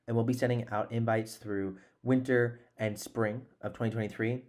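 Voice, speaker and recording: A man's voice: mid-range voice, steady tone, moderate pace, darkish tone. A man in his twenties hosting a podcast in a conversational, earnest and encouraging way, close on a desk microphone. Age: 20s. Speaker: podcast host. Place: small room at home, indoors.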